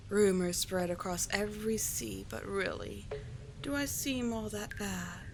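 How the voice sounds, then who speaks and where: A woman voicing a calm, teasing sea witch with soothing, formal endearments in a scripted ASMR roleplay. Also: medium pitch, slight background noise, natural voice, low-quality recording. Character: calm, courtly, teasing sea witch, gentle yet slightly monstrous.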